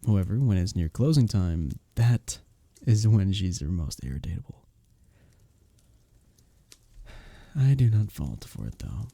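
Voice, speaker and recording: Man acting a husky, lively medieval innkeeper. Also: low-pitched, normal speed, no echo, exaggerated voice. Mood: fond, amused. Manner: old-fashioned formal wording, teasing storyteller. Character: flirty, good-humoured male innkeeper of a medieval fantasy village. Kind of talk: scripted roleplay audio acting, intimate ASMR.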